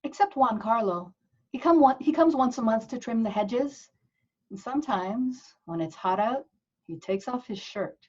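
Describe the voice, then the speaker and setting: A woman's voice: on the high side, animated, moderate pace, darkish tone, breathy edge. A woman playing a naive, sheltered, wistful nun in an earnest comedic table read. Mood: dreamy. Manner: innocent, earnest, easily scandalized. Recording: dull, lo-fi video call audio.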